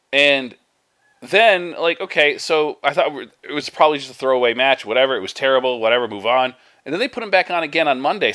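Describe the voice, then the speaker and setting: A man co-hosting a wrestling podcast, talking casually and in a rambling, animated way with many restarts. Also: mid-range voice. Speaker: podcast co-host.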